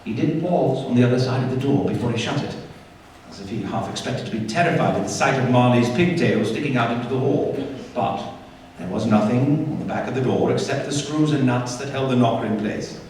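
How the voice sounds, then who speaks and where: A man acting as narrator of a staged radio play, telling a ghost story with suspenseful, deliberate phrasing. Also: medium pitch, darkish tone, natural voice. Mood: measured storytelling. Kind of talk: dramatic scripted narration. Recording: echoey hall, distant mic, faint noise.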